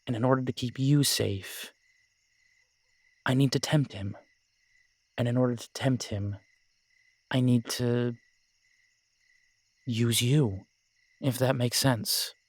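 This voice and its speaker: A man playing a determined, pragmatic werewolf pack leader in an audio roleplay, measured, reassuring and matter-of-fact. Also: mid-range voice, no room sound. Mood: firm, explaining.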